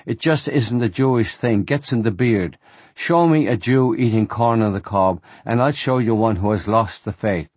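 A man's voice: fairly low pitch, normal speed, darkish tone, breathy edge, no room sound. A man voicing a large, guileless teenage boy who talks earnestly and artlessly.

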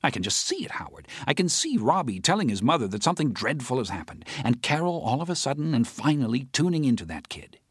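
A man voicing an agitated, outspoken adult woman who rants with sharp sarcasm in an audiobook. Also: mid-range voice, big pitch swings, slightly rough, dry recording, studio quality, pitched above the performer's own voice. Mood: indignant. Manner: indignant, rapid sarcastic rants. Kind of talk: audiobook character voice.

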